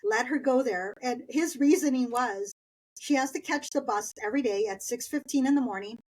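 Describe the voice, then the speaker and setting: A middle-aged woman from East Los Angeles talks through her school years in a relaxed, chatty way, slipping into little voices for her family and laughing as she goes. Spanish was her first language. Her voice sits a bit above the usual pitch for a woman. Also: normal speed, low-quality recording. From East Los Angeles, Southern California. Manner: chatty, anecdotal, laughs while telling stories. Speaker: guest. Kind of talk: conversational interview storytelling.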